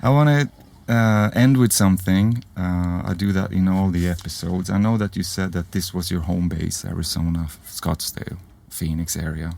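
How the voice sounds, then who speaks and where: A man interviewing casually, haltingly rephrasing his questions as he goes. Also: sits low, steady tone, vocal fry, darkish tone.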